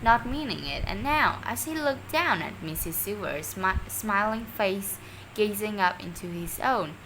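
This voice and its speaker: A woman reading a children's story aloud expressively and deliberately, sometimes stumbling over words. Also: medium pitch, sharp tone, slight background noise, rough recording. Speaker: storybook reader.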